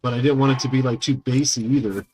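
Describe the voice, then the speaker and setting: A man's voice: mid-range voice, steady tone, quick pace, darkish tone, a little hiss or hum, poor audio. A man in his thirties chatting with viewers while streaming a game, rambling with lots of fillers into a small condenser mic at home. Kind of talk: casual live-stream chat with viewers.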